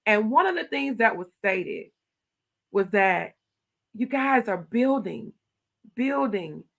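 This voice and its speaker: A middle-aged woman, a county commissioner talking emphatically in an interview, with a loud voice. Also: takes it slowly. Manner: emphatic, animated storytelling with rising pitch when stressing points. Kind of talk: interview conversation.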